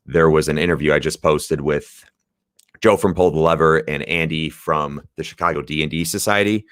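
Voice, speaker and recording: A young man with a raspy voice, chatting casually as host of a comics livestream, rambling and laid-back with some swearing. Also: extremely low pitch, fairly bright tone, no echo, studio quality. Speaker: podcast host.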